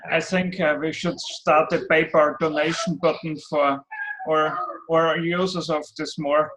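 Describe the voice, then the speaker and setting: A man's voice: on the high side, flat delivery, unhurried, noisy background. A man from Austria on a video call, hesitant and searching for his words. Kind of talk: casual video-call chat.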